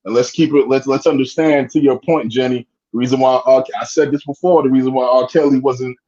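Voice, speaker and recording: A man's voice: mid-range voice, steady tone, fairly quick, dark tone. A man calling in, fired up and rambling, repeating himself as he makes his points. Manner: fired up, rambling, repeats "you know what I mean". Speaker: guest caller. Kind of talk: heated casual commentary. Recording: muffled lo-fi call audio.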